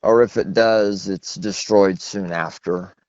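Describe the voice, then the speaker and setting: Low-voiced man on a muffled phone line. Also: fairly even intonation, slow, a little mellow, dry recording. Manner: long-winded, self-deprecating, anecdotal. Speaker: interview guest, author. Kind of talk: rambling phone interview conversation. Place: phone call. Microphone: telephone.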